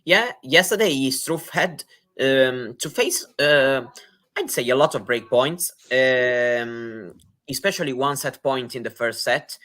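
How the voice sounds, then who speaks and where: Slow, halting man analysing tennis. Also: mid-range voice, a bit bright. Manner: hesitant, many fillers and restarts. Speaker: tennis co-commentator.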